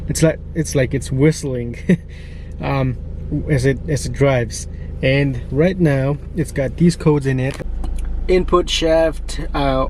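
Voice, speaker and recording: A young man from Guatemala, who grew up speaking Mam, talks through his day as a mobile mechanic in a chatty vlog, halting often with fillers and restarts. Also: mid-range voice, a little mellow. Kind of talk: casual vlog narration. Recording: outdoor street noise behind.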